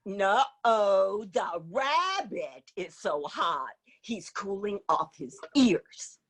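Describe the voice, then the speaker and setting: Playful, stubborn storybook contrarian played by a woman, drawn-out words and very swoopy intonation. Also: mid-range voice, expressive, fairly bright tone, heavily acted. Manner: teasing, drawn-out emphatic contradicting. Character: playful, stubborn picture-book contrarian insisting it's a rabbit. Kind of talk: animated children's read-aloud.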